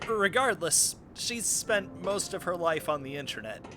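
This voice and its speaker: Man voicing high-pitched, whiny geeky scientist. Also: animated, slow, background noise. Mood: wry. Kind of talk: comedic video game parody dub.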